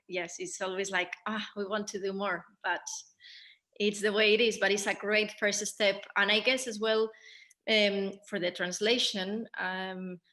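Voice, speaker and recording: Bright-voiced Spanish-accented woman, fairly even pitch. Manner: hesitant, thinking aloud. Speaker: theatre director. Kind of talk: post-show panel discussion.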